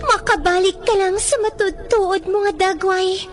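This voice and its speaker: An imperious harpy princess speaks in an extremely high voice with a Western Visayan Filipino accent. She is theatrical and menacing, giving commands over faint radio-drama music. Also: fairly even intonation, unhurried. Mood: commanding.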